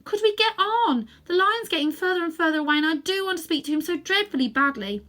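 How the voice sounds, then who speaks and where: A woman's voice: very high voice, lively intonation, quick pace, a bit bright, voice pushed up. A woman voicing an earnest, polite young boy in a children's storybook, breathless and pleading with urgency. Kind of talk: children's storybook character reading.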